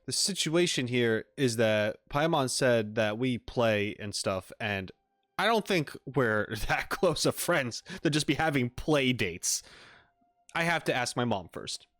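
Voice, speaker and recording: A man's voice: mid-range voice, lively intonation, a little slow, fairly bright tone, dry recording. A man giving casual, dry, sarcastic let's-play commentary as a gaming streamer, close to the mic.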